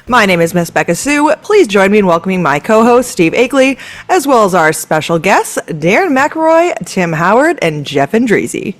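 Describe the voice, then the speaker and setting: A woman hosting a podcast, reading the show's introduction and welcoming guests at a brisk pace. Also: mid-range voice, sing-song. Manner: bright, fast announcer delivery. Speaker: podcast host. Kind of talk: scripted show intro.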